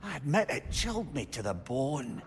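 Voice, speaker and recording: A man's voice: mid-range voice, sing-song, moderate pace, slight background noise. An actor playing a witty, self-doubting old male talking head in a video game, with a Scottish accent and an eloquent storyteller's manner. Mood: self-deprecating.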